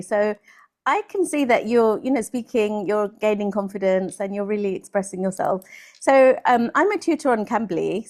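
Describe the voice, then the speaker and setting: A woman, a tutor talking warmly and encouragingly to a student on a video call. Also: mid-range voice. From Kerala, India; raised in London. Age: 40s. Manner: friendly, encouraging, soft-spoken. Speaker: English tutor. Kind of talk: casual video call conversation.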